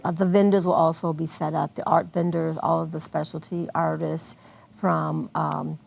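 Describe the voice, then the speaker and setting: A woman's voice: sits low, little pitch movement, moderate pace, vocal fry, darkish tone. An American woman answering questions as a guest organizer in an unscripted television interview. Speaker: guest, arts festival organizer.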